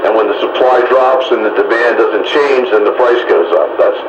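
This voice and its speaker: A man's voice: lowish voice, moderate pace, lo-fi sound. Man on echoey, noisy radio. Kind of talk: casual explanatory radio chat.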